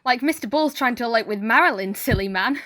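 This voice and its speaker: A woman's voice: fairly high pitch, expressive, fast talker, fairly bright tone. A woman playing a motherly, nosy household cook in an amateur comedy murder mystery. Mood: friendly, teasing.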